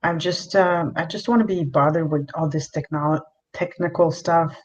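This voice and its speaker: A woman's voice: low-pitched, fairly even intonation, moderate pace. A woman chatting casually as a guest on a talk panel. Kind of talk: casual chat. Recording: muffled lo-fi line, clear background noise.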